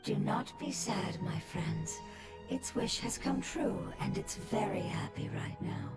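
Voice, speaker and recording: A calm consoling spirit. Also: female voice, fairly low pitch, slow pace. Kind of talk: game cutscene voice acting. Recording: echoey voice over loud game music.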